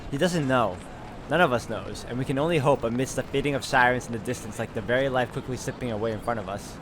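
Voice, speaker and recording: A man's voice: mid-range voice, quick pace, noisy background. A man hosting a game walkthrough, reading visual novel narration aloud and adding his own asides. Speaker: video game walkthrough host.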